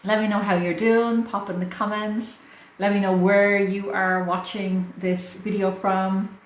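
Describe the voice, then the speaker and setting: A woman's voice: mid-range voice, level tone, measured pace. Chatty Irish woman, livestream host. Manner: chatty, warm-hearted, laughs often. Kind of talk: casual livestream chat. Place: hot room in a house. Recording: echoey room with faint fan noise. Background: fan running.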